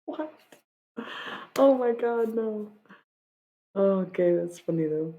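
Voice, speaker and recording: A woman's voice: mid-range voice, expressive, slow pace, narrow, tinny audio, slight background noise. A young woman from Michigan chatting casually on a live stream, joking about herself and breaking into rap lines. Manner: joking, self-mocking, freestyles rap lines.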